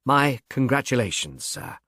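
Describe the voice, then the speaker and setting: Guy reading as a polite reserved detective inspector, formal and understated, medium pitch, dry sound. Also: huge pitch swings, unhurried, vocal fry, fairly bright tone, no room sound, heavily acted. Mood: grave, courteous. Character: reserved, grave, courteous male police inspector.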